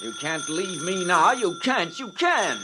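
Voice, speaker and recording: A man's voice: sits high, very animated, unhurried, background noise. An actor playing a frightened male publicity man who stammers and begs, in 1950s radio drama style. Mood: panicked pleading. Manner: stammering, begging.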